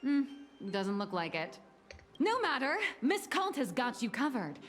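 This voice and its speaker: A woman's voice: high voice, big pitch swings, unhurried, faint music behind. An actress voicing a playful, teasing, self-deprecating young woman in an anime-style video game, chatty and theatrical. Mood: playful, scheming.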